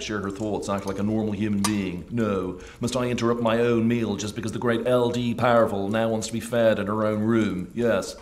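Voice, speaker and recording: A man's voice: fairly low pitch, slight background noise, lightly acted. An actor playing a pompous, bitter unpublished writer in a radio drama, theatrical and sardonic, answering his own rhetorical questions.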